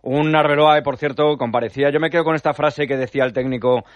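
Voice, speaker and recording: A man's voice: a little high, steady tone, quick pace, dry recording, lo-fi sound. A man presenting the sports slot on a radio talk show, chatting fluently with many fillers.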